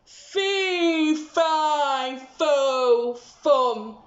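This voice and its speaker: A huge, hungry storybook giant, acted out by a woman, roars and chants his threats with slow menace. The pitch sits high for so big a brute, and the sound is roomy and slightly dull. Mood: menacing, hungry. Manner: slow, drawn-out chanting roar.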